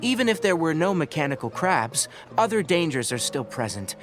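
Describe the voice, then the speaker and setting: A youthful, somewhat high-pitched male ranger from a video game. He is composed and scholarly, instructing in a practical, dry way with lively intonation, over faint music. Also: moderate pace. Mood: courteous, practical. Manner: articulate, instructive, matter-of-fact. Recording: music behind the voice.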